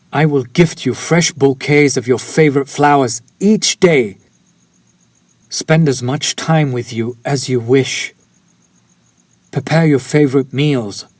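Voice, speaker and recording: Slow-spoken courteous male vampire, acted by a man. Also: mid-range voice, a little mellow, no room sound. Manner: formal, archaic, ornate, apologetic phrasing. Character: courteous, lovesick, centuries-old male vampire, obsessive and pleading. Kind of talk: scripted romantic audio roleplay, intimate direct address.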